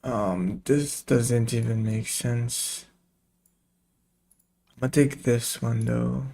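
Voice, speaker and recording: A man's voice: medium pitch, fairly even intonation, drawn-out words, vocal fry, dark tone. A man giving casual gameplay commentary, muttering short remarks to himself as he plays. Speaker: game streamer.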